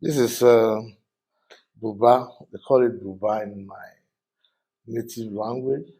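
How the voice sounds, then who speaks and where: An older man from Nigeria with a Nigerian accent, a podcast guest talking casually in a studio, halting and full of fillers. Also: on the low side, steady tone, mellow, slightly rough. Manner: halting, with frequent fillers and restarts. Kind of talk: casual interview talk.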